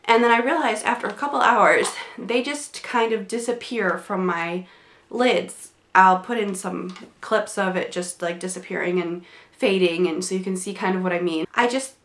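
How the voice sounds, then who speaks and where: A woman's voice: medium pitch, normal speed, a bit bright, roomy sound. A young woman reviewing makeup in a casual, rambling way, her voice a bit congested from a cold. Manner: chatty, rambling, many fillers and restarts. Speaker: beauty vlogger. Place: small home room, indoors.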